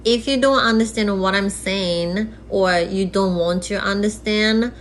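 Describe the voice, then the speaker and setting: A woman's voice: mid-range voice, a little slow, slight background noise. A woman from Japan with a Japanese accent, speaking English as a second language, giving an earnest monologue. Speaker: guest speaker.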